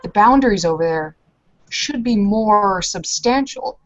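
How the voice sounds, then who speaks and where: A woman chats in character during a tabletop game. Her voice sits at an ordinary pitch, and the recording is dull and lo-fi, like an online call. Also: lively intonation, a little slow. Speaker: tabletop roleplaying player. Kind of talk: casual in-character roleplay chat.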